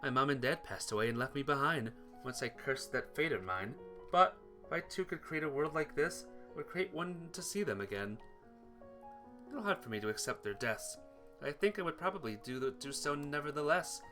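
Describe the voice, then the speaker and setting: Guy doing an awkward sincere teen boy from a visual novel, hesitant, apologetic, bright voice. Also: mid-range voice, lively intonation, fairly quick, faint music behind. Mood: gentle, earnest. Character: earnest, awkward teenage boy in love.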